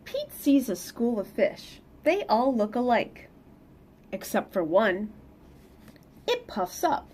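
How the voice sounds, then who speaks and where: Animated middle-aged woman reading to children. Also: on the high side, takes it slowly, long pauses. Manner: animated, sing-song emphasis for young listeners. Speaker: read-aloud storyteller. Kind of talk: expressive children's picture-book reading with casual asides. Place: small plain indoor room.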